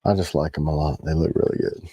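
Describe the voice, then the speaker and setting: A man with a raspy voice, tired in the morning, co-hosting a casual product livestream and explaining the details of the items he shows. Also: very deep voice, steady tone, fast, warm tone, muffled recording. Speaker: co-host of a knife company livestream. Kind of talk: casual live chat about products.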